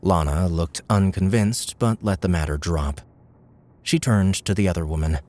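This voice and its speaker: Deep-voiced male audiobook narrator. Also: moderate pace, darkish tone. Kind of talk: scripted fiction narration.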